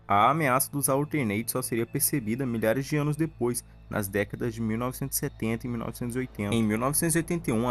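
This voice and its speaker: A Brazilian man narrates a scripted video essay in documentary style. His voice sits at a medium pitch, with faint music playing behind it.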